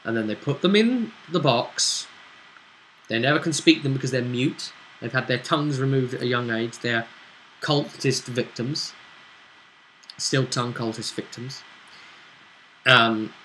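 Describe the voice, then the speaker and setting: A man acting as game master, recapping a tabletop role-play session in a casual, halting storytelling way. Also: medium pitch, bright, forceful tone, a little hiss or hum, rough recording.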